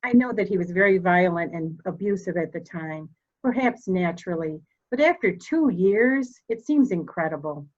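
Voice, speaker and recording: A woman playing a proud, formal, candid elderly mother in a murder mystery reading, speaking deliberately. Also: lowish voice, expressive, dull audio. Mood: cool, musing. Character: proud, cold old mother, candid and slightly deaf.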